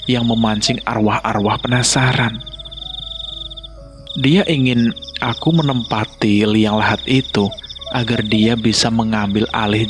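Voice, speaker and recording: A man's voice: on the low side, noisy background. A man narrating a scripted horror story in a dramatic way.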